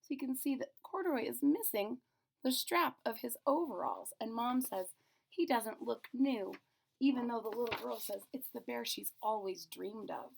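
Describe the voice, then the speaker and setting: A woman's voice: a little high, normal speed, creaky, slight background noise. A woman reading a story aloud to children, adding simple explanatory asides.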